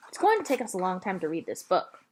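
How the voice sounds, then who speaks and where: A woman plays an earnest young girl from a storybook. She is eager and stubborn, frank and polite, with animated rises and falls in pitch. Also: fast talker, noisy background.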